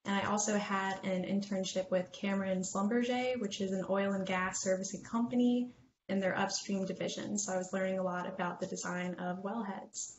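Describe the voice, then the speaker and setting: A woman's voice: steady tone, normal speed. A young woman from New Orleans, a new mechanical engineer, introducing herself in a friendly way. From New Orleans, United States. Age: young adult. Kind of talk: self-introduction in presentation. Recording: echoey room, muffled low-fi, faint hiss.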